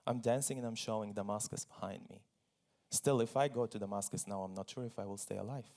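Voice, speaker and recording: A man's voice: on the low side, fast, a little mellow. A man from Syria speaking on stage as a guest at a forum, telling his personal story earnestly, with small laughs and repeated phrases.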